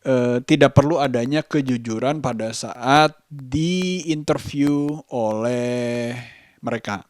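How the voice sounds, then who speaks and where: A man with a Jakarta Indonesian accent telling a personal story as a vlogger, halting and hesitant, pausing between phrases. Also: mid-range voice, darkish tone, no echo, studio quality. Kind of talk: personal vlog storytelling to camera.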